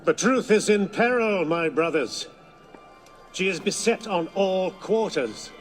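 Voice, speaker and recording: A commanding zealot leader from a video game, high in pitch and very measured in pace. He declaims with solemn fervour and bitterness, like an orator before his followers. Music plays clearly behind him. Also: expressive. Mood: fervent, solemn. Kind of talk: theatrical game speech to followers.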